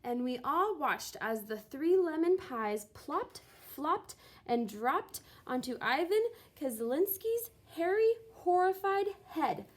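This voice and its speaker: A woman reading a storybook aloud with expressive, dramatic and deliberate delivery. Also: sits high. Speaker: teacher reading a picture book aloud.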